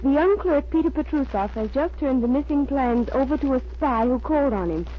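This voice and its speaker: An actress playing a brisk, earnest female agent making dutiful reports in an old-time radio drama, on a muffled recording. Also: high voice, lively intonation. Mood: urgent, dutiful.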